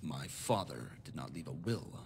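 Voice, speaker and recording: A man playing a calculating, self-made nobleman in a video game, speaking in a formal, measured, composed way. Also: very deep voice, animated, gravelly, slight background noise, heavily acted. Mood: cool, resolute. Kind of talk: scripted video game dialogue.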